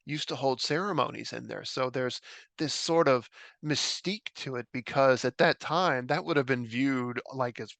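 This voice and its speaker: An American man chatting as a podcast guest, eager and quick to laugh, often restarting his sentences. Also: mid-range voice, gravelly, a bit bright, dry recording. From United States. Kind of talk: casual podcast chat.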